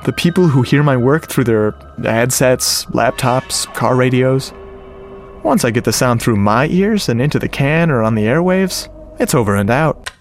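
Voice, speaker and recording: A man's voice: mid-range voice, lively intonation, normal speed, natural voice. An actor playing a lovestruck, self-deprecating geek, narrating his story in a confiding, earnest way in a radio drama. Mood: wistful. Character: lovestruck, self-deprecating, geeky male sound-effects artist. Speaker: narrator and lead character. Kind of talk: first-person radio drama narration, conversational. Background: music under the voice.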